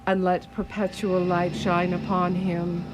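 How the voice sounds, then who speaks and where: A woman's voice: fairly low pitch, little pitch movement, a little slow, heavy background noise, close to an everyday voice. A woman acting a grave, commanding vampire hunter in a radio play, speaking formally with stilted word order. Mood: solemn. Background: loud dramatic music.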